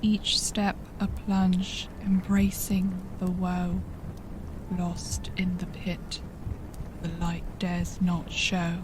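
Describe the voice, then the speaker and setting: Woman playing a very slow, poetic fey adventurer. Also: lowish voice, monotone, noisy background. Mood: grim, poetic. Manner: dramatic, lilting, drawn-out verse-like delivery. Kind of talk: fantasy horror audio drama acting.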